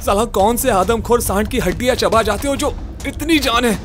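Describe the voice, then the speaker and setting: A man's voice: very high-pitched, normal speed. A man playing a bold, exasperated ghost-case solver in a horror-comedy audio drama, blunt and insistent, mixing in English. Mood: straining. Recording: music bed behind.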